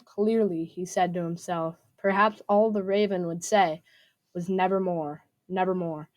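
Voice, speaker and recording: A woman's voice: fairly low pitch, fairly even intonation, unhurried. A woman reading a scripted story aloud. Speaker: student reader.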